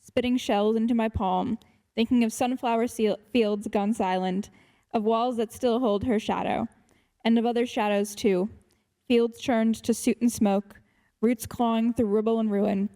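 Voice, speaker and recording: A teenage girl with a soft, warm voice, reading her poem slowly and then answering questions in a hesitant way, full of "um" and "like". She starts sentences over and searches for her words. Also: on the high side, fairly even intonation. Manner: hesitant, many fillers and restarts. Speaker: student poet. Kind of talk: poetry reading and casual answers.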